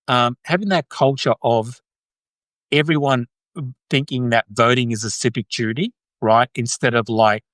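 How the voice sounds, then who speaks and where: A man's voice: mid-range voice, fairly bright tone, no room sound. Chatty Australian man, dry close sound. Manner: chatty, lots of "right?" tags and restarts. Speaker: host. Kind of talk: casual live-stream chat answering viewer comments.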